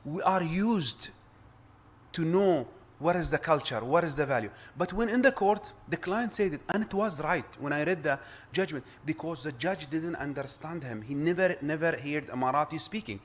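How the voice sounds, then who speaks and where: A man's voice: fairly high pitch, expressive, moderate pace. A man with an Arabic accent giving an earnest conference address, often repeating words and restarting sentences. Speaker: conference speaker, arbitration lawyer. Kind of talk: formal address at a round table.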